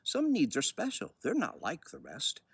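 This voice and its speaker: An older man with a husky voice, speaking expressively and without hurry like a children's author telling a story. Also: a little high, wildly swooping pitch, moderate pace. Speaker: children's book author reading aloud. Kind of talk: personal commentary and storybook reading.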